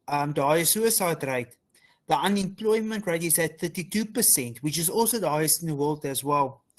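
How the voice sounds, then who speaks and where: A man with a South African accent giving an earnest panel talk as a researcher and advocate, often repeating phrases, on a video call. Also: a little high. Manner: earnest, repeats phrases like "as well" and "you know". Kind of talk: panel presentation.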